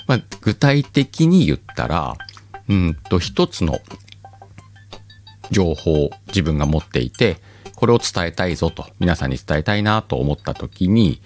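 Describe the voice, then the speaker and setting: Deep-voiced man, lively rambling host. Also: faint music behind. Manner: rambling, self-mocking, drawn-out pauses. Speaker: podcast host. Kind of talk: casual solo chat.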